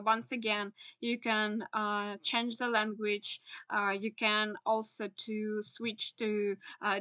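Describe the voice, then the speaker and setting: A young woman with a Ukrainian accent, a native Ukrainian speaker, walking through a software tutorial in halting English full of "uh"s. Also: on the high side. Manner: many filler "uh"s, halting non-native phrasing. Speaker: SEO instructor. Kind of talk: screen-share tutorial walkthrough.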